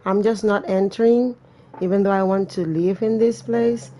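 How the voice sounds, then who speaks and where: A woman's voice: medium pitch, fairly even intonation, moderate pace, mellow, a little hiss or hum. A woman reading game text aloud as a let's play streamer, breaking off for casual, sarcastic, wry commentary. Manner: sarcastic, wry, swearing asides.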